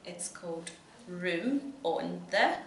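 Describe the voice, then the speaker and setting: A Scottish woman with a fairly low voice reads a children's story aloud, asking questions and giving encouragement. The recording is roomy, a little distant, with some background noise. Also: lively intonation, slow pace, fairly bright tone. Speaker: teacher reading a story to a child. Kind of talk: interactive storybook reading, child-directed. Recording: echoey room, distant mic, noisy background.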